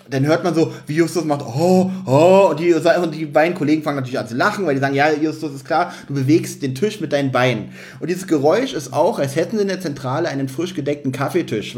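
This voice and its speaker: A man with a fairly high voice chats as a podcast co-host. He retells a story informally, feeling for the right word and briefly acting out lines as he goes. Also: expressive, quick pace, hardly any pauses, a little mellow. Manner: acts out quotes, hesitant searching for words. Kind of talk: casual podcast chat, retelling and critiquing a story.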